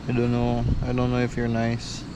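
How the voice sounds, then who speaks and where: A man vlogging alone at a beach campsite, relaxed and thinking aloud, switching between English and Filipino, recorded outdoors on a body-worn action camera. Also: medium pitch, moderate pace, background noise. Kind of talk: casual solo camping vlog.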